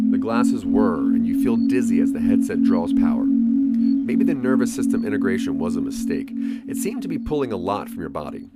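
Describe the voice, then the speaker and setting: A man giving a scripted second-person narration for an audio drama. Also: fairly low pitch.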